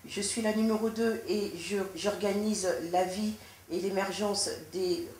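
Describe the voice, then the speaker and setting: A woman from France speaks formally and deliberately, often restarting her sentences as she answers an interviewer. Her voice sits at a middle pitch and carries a roomy echo. A faint hiss stays underneath. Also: steady tone, darkish tone. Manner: measured, formal, with frequent restarts. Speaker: politician being interviewed. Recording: echoey room with faint noise.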